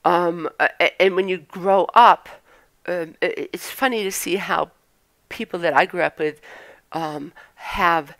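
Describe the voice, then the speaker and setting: Low-voiced woman musing, dry close sound. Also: a bit bright, no room sound. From Norwegian parents, born in the US, raised between the US and Norway. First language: Norwegian. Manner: halting, many fillers and restarts, reflective. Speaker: vlogger. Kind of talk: casual personal monologue.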